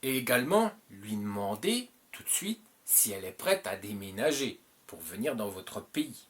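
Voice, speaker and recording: A man's voice: lowish voice, big pitch swings, normal speed. A French-speaking man hosting his channel, giving advice in a casual, rambling monologue full of fillers and repeats. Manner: rambling, repeats himself, many filler "euh"s.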